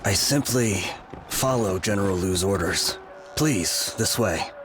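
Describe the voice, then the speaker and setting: Calm dutiful officer in a game, low slow voice, polite and restrained. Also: male voice, over music. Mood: calm, courteous. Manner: measured, polite. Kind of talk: video game cutscene acting.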